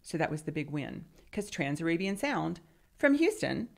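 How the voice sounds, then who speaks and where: Woman, 60s, podcast host; deep, slightly fried tone, relaxed anecdotal chat. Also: moderate pace, creaky. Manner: chatty, anecdotal storyteller. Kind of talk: casual interview chat.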